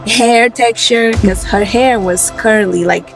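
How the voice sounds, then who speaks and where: A woman in her twenties who grew up in Portugal, speaking softly and reflectively as a guest in a candid interview. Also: on the high side, slow pace, creaky, soft background music, low-quality recording. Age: 20s. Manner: soft-spoken, reflective, with frequent fillers.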